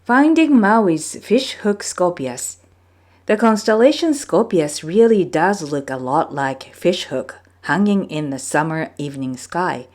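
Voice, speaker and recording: A woman reading from a folktale book as a storyteller, with measured, deliberate phrasing. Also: on the low side, animated, a little mellow. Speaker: storyteller, reader. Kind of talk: scripted narration of a folktale book.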